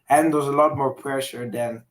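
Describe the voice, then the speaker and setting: A man joining a casual football talk stream, asking questions in halting phrasing. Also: mid-range voice, steady tone, crowd noise.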